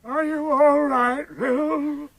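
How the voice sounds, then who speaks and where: A fussy, bewildered old uncle. His voice sits very high and every word is drawn out at a crawl. He sounds like a figure from a radio play. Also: steady tone, extremely slow, fairly bright tone, heavily acted. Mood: puzzled. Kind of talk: radio drama acting.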